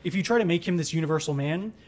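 Young male guest, slightly high voice, strongly opinionated, casual and heated. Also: very fast, a little mellow. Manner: opinionated, many fillers and restarts. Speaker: podcast guest. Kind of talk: casual chat.

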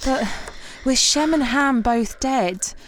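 A woman's voice: high voice, slow pace, a little hiss or hum. A woman acting in an audio comedy drama as a bossy, self-important writer turned investigator, articulate, theatrical and exasperated. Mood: measured, probing.

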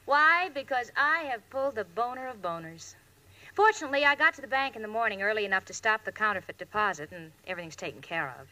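Bright, high-voiced wry roommate. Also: female voice, lively intonation, fast talker, low-quality recording. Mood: wry exasperation. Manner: wry, crisp comic delivery. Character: sensible, wry young woman, exasperated roommate narrator. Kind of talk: 1940s radio comedy acting.

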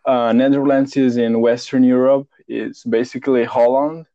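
A man's voice: medium pitch, level tone, normal speed, dark tone, no room sound, lo-fi sound. A man in his twenties from Romania, a guest talking frankly and plainly in a casual interview, often repeating words while he thinks. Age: 20s.

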